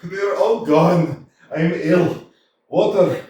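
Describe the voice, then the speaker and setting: A man's voice: sits high, extremely slow, darkish tone, a little hiss or hum. A man voicing a frightened, feeble old male servant who wheezes and pleads, in a staged reading of a play. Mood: faint. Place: small community theater. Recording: echoey room, far from mic.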